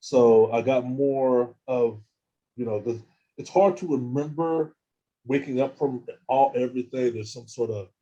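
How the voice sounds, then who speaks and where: Male interview guest, halting storyteller who restarts his sentences; low, dark tone on a dull, lo-fi recording. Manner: halting, repeats words and restarts. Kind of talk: casual first-person account.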